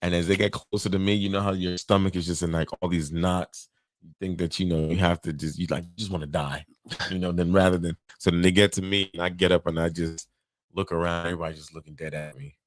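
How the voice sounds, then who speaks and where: Co-host: deep low male voice, dry close mic, opinionated storyteller. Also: fairly even intonation, quick pace, no room sound. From Nigeria. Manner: opinionated, tells anecdotes. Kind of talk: casual chat.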